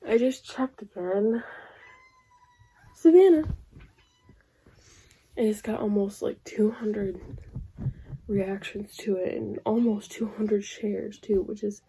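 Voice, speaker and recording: A young woman vlogging casually about her reading, rambling with lots of fillers, her voice going hoarse. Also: medium pitch, slow pace, long pauses, slight background noise, poor audio. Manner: rambling, filler-heavy, soft-spoken. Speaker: book vlogger. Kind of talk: casual vlog chat.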